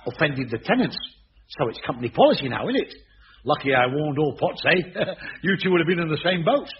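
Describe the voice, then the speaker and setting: An actor playing a chatty, chuckling busybody in a radio sitcom. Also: male voice, fairly high pitch, animated, brisk, husky. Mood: gossipy. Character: gossipy staff member, cheerful busybody. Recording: muffled old radio recording.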